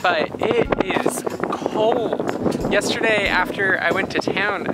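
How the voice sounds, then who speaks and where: A woman in her thirties talking casually to a handheld camera on a sailboat, explaining things with plenty of fillers. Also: sits low. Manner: relaxed, explanatory, with many fillers. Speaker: sailing vlogger. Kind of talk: casual vlog narration to camera. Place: outdoors on a sailboat on open water. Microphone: handheld camera at arm's length. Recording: loud wind noise on the microphone. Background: wind and water.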